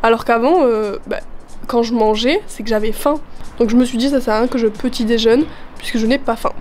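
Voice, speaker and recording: A woman's voice: fairly high pitch, brisk, a little mellow, slight background noise. A young woman in her twenties chatting in a casual vlog, her voice worn from a chest cold, upbeat and full of fillers. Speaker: vlogger. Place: at home, partly out on a terrace.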